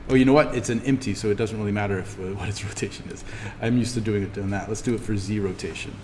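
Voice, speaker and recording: A man teaching an introductory animation class, talking informally through software steps and thinking aloud as he demonstrates. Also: fairly low pitch. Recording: steady noise behind the voice.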